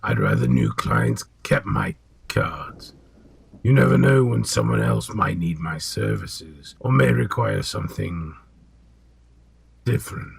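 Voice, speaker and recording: An ancient potion seller in an audio drama, a sinister old man with a low, heavily creaking voice. He speaks slowly and formally, exacting in every word, with a husky rasp. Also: sing-song, a little hiss or hum, full character voice. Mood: cool, cryptic. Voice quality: gravelly, husky. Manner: slow, deliberate, formal.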